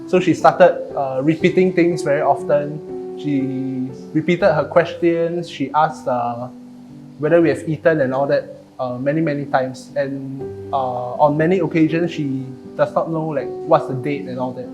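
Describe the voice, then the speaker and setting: A young man, an engineering student answering in a measured way with fillers. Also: on the high side, steady tone, poor audio. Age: young adult. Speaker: university student. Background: guitar music.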